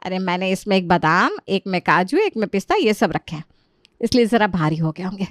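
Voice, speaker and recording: A woman's voice: mid-range voice, lively intonation, fairly quick, no echo, studio quality, lightly acted. A woman voicing a doting, hospitable middle-aged mother in a story, effusive and reassuring.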